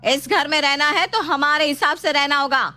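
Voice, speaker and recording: A woman's voice: high voice, normal speed, bright, forceful tone, faint music behind, voice pushed up. A woman playing a domineering, spiteful sister-in-law with a taunting, haughty manner in an acted story. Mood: taunting, angry.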